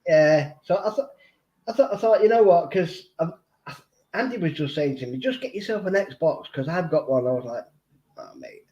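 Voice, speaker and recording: A man's voice: fairly high pitch, animated, moderate pace, dark tone. A man from Manchester with a Mancunian accent, a guest on a casual livestream who rambles, repeats himself and uses many fillers. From Manchester, England. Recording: dull lo-fi audio.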